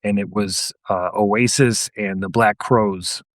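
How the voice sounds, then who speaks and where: A man's voice: on the low side, fairly even intonation, slow, no room sound, studio quality. A man talking casually as a guest on a podcast.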